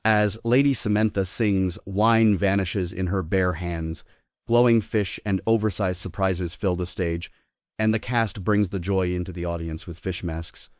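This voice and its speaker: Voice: low-pitched, fairly even intonation, measured pace, darkish tone, no room sound, studio quality. A narrator reading scripted stage directions at a theatre table read.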